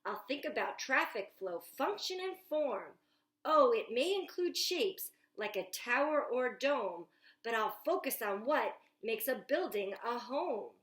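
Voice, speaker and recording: Woman reading as an excited young boy inventor. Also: fairly high pitch, measured pace. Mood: proud, excited. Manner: bouncy rhyming read-aloud, stretched words. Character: imaginative young boy proudly touring his dream house. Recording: echoey room.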